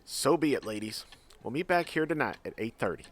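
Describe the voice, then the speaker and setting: A man's voice: mid-range voice, lively intonation, fairly quick, natural voice. A man playing a skeptical but jovial Old West mine boss in an audio drama, folksy and a little condescending. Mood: intrigued.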